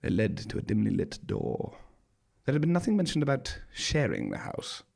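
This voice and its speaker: Male monologue performer with gravelly creak, dry wit and dramatic stage storytelling. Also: medium pitch, wildly swooping pitch, normal speed, darkish tone. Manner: arch, droll, dramatic delivery. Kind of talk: theatrical scripted first-person storytelling. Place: small theatre stage, live performance.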